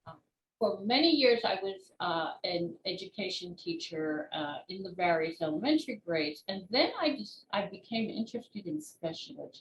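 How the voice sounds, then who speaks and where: An older woman, in her sixties or beyond, answering interview questions as an author and former teacher in a measured, explanatory way with pauses between short phrases. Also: medium pitch, expressive, highs cut off. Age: 60s or older. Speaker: children's book author and retired teacher being interviewed. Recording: roomy echo with clear background noise.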